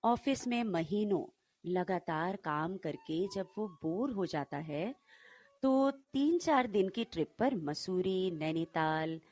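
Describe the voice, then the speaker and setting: A woman co-hosting and telling stories to listeners in an unhurried way. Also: on the low side, expressive, darkish tone. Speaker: co-host and storyteller.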